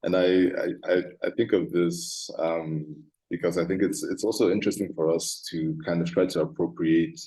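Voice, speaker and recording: A man moderating an artist talk, speaking thoughtfully and haltingly with frequent ums. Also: low-pitched, fairly even intonation, mellow, rough recording.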